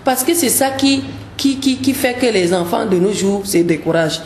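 A woman's voice: fairly high pitch, expressive, normal speed, darkish tone. Lady singer on the radio, earnest, keeps repeating words, echoey room, faint hiss. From Cameroon. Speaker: gospel singer, guest. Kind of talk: radio interview.

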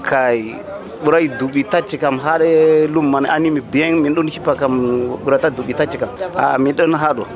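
A man who trades at an outdoor market, interviewed in the field and speaking with hesitant pauses. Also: mid-range voice, fairly even intonation, a crowd in the background. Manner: hesitant, halting talk.

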